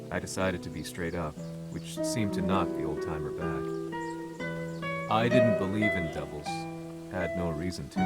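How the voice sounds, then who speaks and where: An actor playing a serious investigative journalist, narrating his story in the first person in a measured, noir-like way. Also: low voice, natural voice. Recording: voice-over with loud guitar music.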